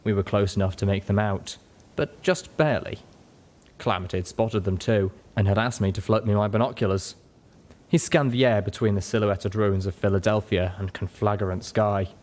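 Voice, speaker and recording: A man's voice: lowish voice, normal speed, darkish tone. A man reading a scripted first-person adventure story as an audiobook narrator. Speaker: audiobook reader.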